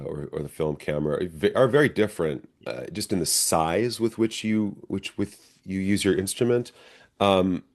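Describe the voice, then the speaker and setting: A man's voice: low voice, a little mellow. A middle-aged man, an actor, answering interview questions in a reflective, conversational way. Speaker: stage and screen actor, interview guest.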